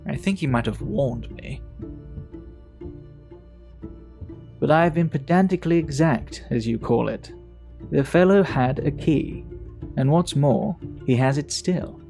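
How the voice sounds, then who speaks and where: A man playing a worldly, talkative Victorian English gentleman in an audio drama, speaking in a measured, gossipy, fastidious way. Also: on the high side, animated, gravelly, soft background music. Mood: sullen, regretful.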